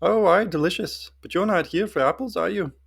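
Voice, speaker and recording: Man voicing a sly old goblin woman, pitched high. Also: brisk, gravelly, breathy edge, exaggerated voice. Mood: sly, knowing. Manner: folksy, teasing and cryptic. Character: tiny wrinkled old goblin woman, sly, folksy, knowing wayfarer. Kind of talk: fantasy storytelling character voice.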